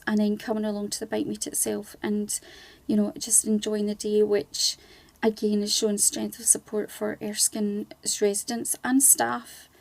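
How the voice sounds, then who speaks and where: A woman's voice: mid-range voice, vocal fry, dark tone, dry recording. A middle-aged woman from Scotland, guest on a charity radio show, chatting casually with lots of ums. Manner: chatty, many ums, laughs easily.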